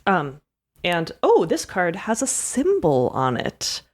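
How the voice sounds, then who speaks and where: A woman with a slightly low voice improvises as a player in a tabletop game. She talks casually and conversationally as she builds the story. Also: huge pitch swings, slow pace.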